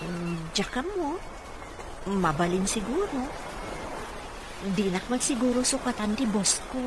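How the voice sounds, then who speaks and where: An actress playing a dutiful, encouraging caregiver in an Ilocano-accented radio drama. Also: female voice, mid-range voice, expressive, unhurried, noisy background, close to an everyday voice. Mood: friendly. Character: dutiful, encouraging young woman caregiver to a wheelchair-bound boss. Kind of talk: Filipino radio comedy-drama acting.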